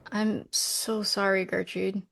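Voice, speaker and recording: An actress playing a practical, doubting millennial twin sister in a romcom script read. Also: female voice, medium pitch, little pitch movement, slow pace, gravelly, fairly bright tone, exaggerated voice. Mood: apologetic.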